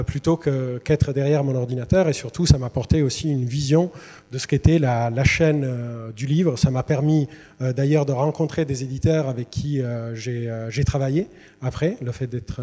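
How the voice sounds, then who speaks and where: A man's voice: mid-range voice, warm tone. A man, a literary translator, giving a presentation to students, hesitant with many fillers and restarts. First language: Italian. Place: university lecture hall. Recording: long reverb in a large hall.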